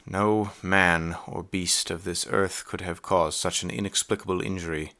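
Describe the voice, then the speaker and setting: An actor playing a scholarly professor of the 1920s in an audio play, with formal, measured, old-fashioned diction. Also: male voice, low voice, level tone, pushed and bright. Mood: grave, concerned. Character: grave, scholarly male professor of 1920s, investigating horrors. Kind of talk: dramatic audio play acting and narration.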